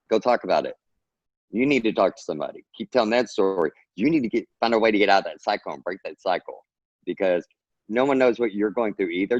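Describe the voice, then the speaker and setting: A low-voiced man with a drawl talks earnestly in a rambling, encouraging way. His voice comes over a dull, lo-fi video call and sounds dry and close. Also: no echo. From Texas. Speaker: guest author. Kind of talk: casual interview talk.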